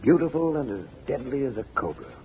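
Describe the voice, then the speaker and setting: An actor playing a firm, authoritative adventurer uncle in a 1940s radio drama. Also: male voice, medium pitch, expressive, slightly rough. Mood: stern. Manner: authoritative, brisk reassurance. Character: firm, commanding uncle, heroic skeptical occult adventurer. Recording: muffled, noisy old radio recording.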